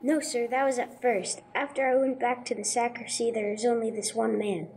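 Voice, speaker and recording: An earnest schoolboy in a radio play, voiced by a woman, answers respectfully in a high voice. He is plain-spoken and a bit hesitant, with faint noise in the background. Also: little pitch movement, normal speed, a little mellow, close to an everyday voice. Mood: earnest, unsure. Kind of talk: 1950s radio drama acting, amateur church production.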